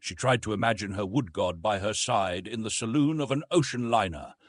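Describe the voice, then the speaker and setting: A man narrating a classic novel in an expressive, literary storytelling style. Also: on the low side, a little fast, no room sound, clean studio sound. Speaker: audiobook narrator.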